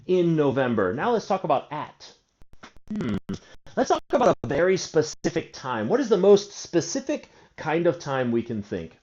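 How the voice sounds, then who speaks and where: A man's voice: a little high, darkish tone. A man in his forties teaching an English grammar lesson, asking and answering his own questions and drawing out key words. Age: 40s. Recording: dull lo-fi recording.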